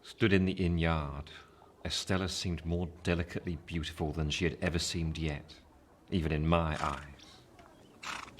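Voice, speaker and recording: An actor playing a reflective Victorian gentleman narrating his own life story, measured and literary. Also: rumbling low, fairly even intonation, a little hiss or hum. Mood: wistful. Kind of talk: scripted narration.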